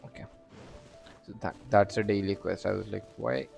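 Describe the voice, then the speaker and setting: A male game streamer talks casually in a low, gravelly voice. He is slow and halting, often muttering to himself, with faint music behind. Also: fairly even intonation, a little mellow, lo-fi sound. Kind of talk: casual live game commentary.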